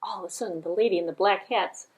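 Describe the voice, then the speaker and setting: A woman's voice: mid-range voice, fast talker, poor audio. A woman storyteller narrating a puppet show for children, with sing-song, repetitive emphasis.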